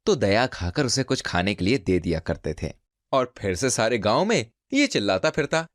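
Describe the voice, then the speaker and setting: A male storyteller narrates a cartoon folk tale. His fairly low voice moves through lively rises and falls, recorded dry and close. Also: normal speed, no echo. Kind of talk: scripted narration of children's cartoon folk tales.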